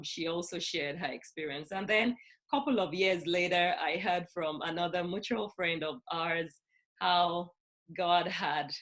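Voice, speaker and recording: A woman hosting an online interview, introducing her guest in an earnest, encouraging way, with soft fillers, over a video call. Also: lowish voice. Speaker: host.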